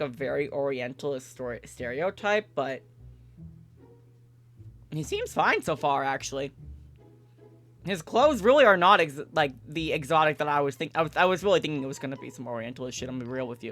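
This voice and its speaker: A woman's voice: low voice, fairly bright tone. A woman livestreamer reading a visual novel aloud and commenting casually.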